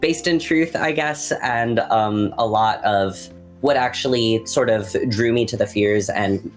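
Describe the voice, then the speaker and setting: Very deep-voiced, reflective woman. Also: a little slow, faint music behind. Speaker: cast member and writer. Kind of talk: casual group Q&A chat.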